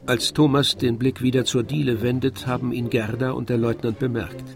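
A man tells a literary story as a radio drama narrator. His voice is mid-pitched and his delivery measured and formal, with faint music behind him. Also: little pitch movement, vocal fry.

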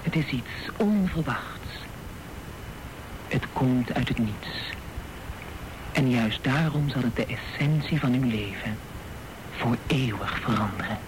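Ethel McLeod, a solemn fortune teller. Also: female voice, very deep voice, lively intonation, background noise, exaggerated voice. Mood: ominous. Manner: slow, solemn, ominous pronouncements. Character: mysterious female fortune teller, solemn and prophetic. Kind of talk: radio drama acting.

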